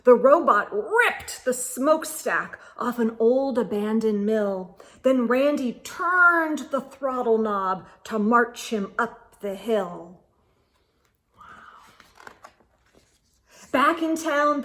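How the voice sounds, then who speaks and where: A woman reading a picture book aloud to children in an animated, dramatic way, with hushed asides to her listeners. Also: high-pitched.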